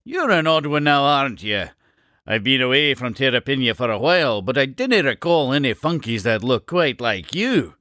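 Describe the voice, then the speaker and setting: Game role: eccentric Scottish explorer-salesman creature, grandly theatrical, hugely swooping pitch, dry recording. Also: very animated, moderate pace, sharp tone, no room sound. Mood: jovial, boastful. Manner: theatrical, showman-like, chuckling salesman patter. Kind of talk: scripted video game character speech.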